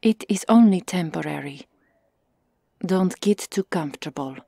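Woman doing stern amazon guard, asmr roleplay, mellow breathy voice up close n dry, curt and formal. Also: lowish voice, lively intonation, measured pace, no echo, heavily acted. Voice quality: breathy, hushed, often whispering. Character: stoic, stern, dutiful Amazon warrior woman.

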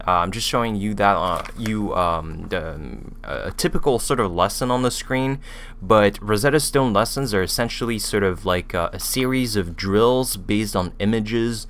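A man's voice: lowish voice, normal speed, fairly bright tone, slight background noise. A man in his thirties with a French accent, a native French speaker, reviewing a language program in a casual, hesitant way, full of fillers and restarts, recorded on a studio mic in a small room. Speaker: language learning YouTuber. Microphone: studio mic with pop filter on boom arm.

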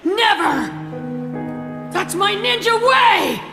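A woman's voice: very high-pitched, sing-song, slow pace, vocal fry, full character voice. A woman playing a brash, determined teenage ninja boy in an English anime dub, blunt and emphatic. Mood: fierce, determined. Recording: music behind the voice.

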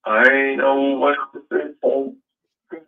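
A man's voice: mid-range voice, completely flat delivery, slow pace, gravelly, faint music behind. A man hosting a call-in show, talking in a rambling, questioning way with many fillers. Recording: phone-like line.

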